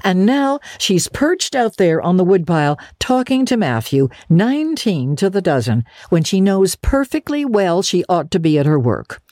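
A woman's voice: fairly low pitch, big pitch swings, warm tone, no echo, clean studio sound, pitched above the performer's own voice. A woman doing a stern, scolding older woman. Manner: scolding, brisk. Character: stern, sharp-tongued older woman, strict.

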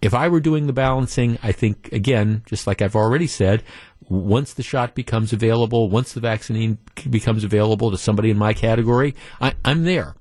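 A man's voice: fairly low pitch, brisk, a little mellow, no echo. A man hosting a call-in radio talk show, talking conversationally with frequent restarts and fillers and reading listener emails aloud.

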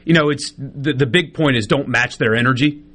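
A man co-hosting a sports talk radio show, explaining things in a measured way. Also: medium pitch, fairly bright tone. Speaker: radio co-host. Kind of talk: casual talk radio chat.

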